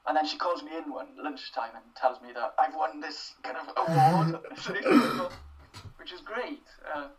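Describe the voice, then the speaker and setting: A man's voice: fairly high pitch, measured pace, distant mic, crowd noise, poor audio. A young Welsh man answering interview questions in a thoughtful, self-deprecating way, with plenty of fillers and restarts. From Wales. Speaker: interview guest, writer. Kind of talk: casual interview.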